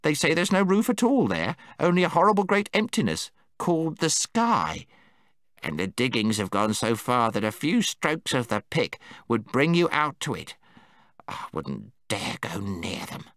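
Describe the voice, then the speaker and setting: A man voicing a timid, excitable male gnome who squeaks when frightened. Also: a little slow, dry recording, clean studio sound. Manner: fretful, squeaks when scared. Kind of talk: audiobook character voice.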